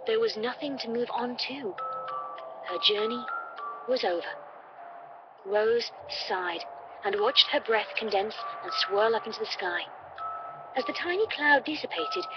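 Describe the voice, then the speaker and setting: A woman reading a scripted story as a dramatic narrator. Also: fairly high pitch. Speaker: fan fiction reader. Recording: muffled lo-fi playback. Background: music.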